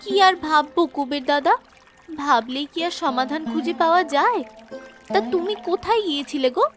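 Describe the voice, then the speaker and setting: Cartoon village girl woman performer: high young voice, curious, earnest, talkative, faint music behind. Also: a little fast, close to an everyday voice. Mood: fretful. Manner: earnest, artless, inquisitive. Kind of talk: Bengali moral-tale cartoon dubbing.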